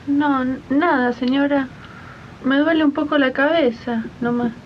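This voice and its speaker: A woman's voice: steady tone, unhurried, slight background noise. A woman playing a timid, worried young maid in a 1980s community radio drama, meek and hesitant, with an Argentine accent. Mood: meek, evasive. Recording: muffled, rumbly 1980s archive radio recording.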